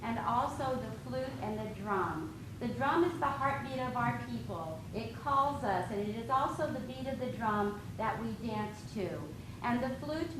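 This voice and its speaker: A woman whose first language is Lakota Dakota, calmly explaining traditions to an audience as a flute player and dancer. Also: a little high. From Dakota Lakota and Ojibwe people. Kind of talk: educational talk to an audience. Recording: echoey hall from far off with noise.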